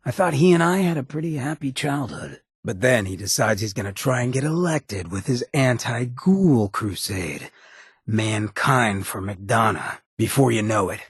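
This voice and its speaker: An actor playing a swaggering, sardonic but loyal male ghoul rebel in a video game, with a very raspy, gravelly American voice and a streetwise, folksy drawl. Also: mid-range voice, lively intonation, measured pace, no room sound, studio quality, exaggerated voice. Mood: bitter, reflective. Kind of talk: video game companion voice acting.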